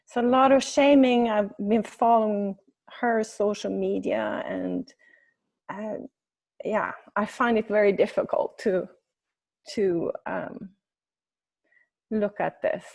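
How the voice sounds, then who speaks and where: A woman from Sweden with a Swedish accent, speaking English as her second language, speaking up haltingly in an online meeting and searching for her words. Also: mid-range voice, fairly even intonation, creaky, a little mellow. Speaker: webinar participant. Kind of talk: hesitant spoken comment in an online meeting. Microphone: video call.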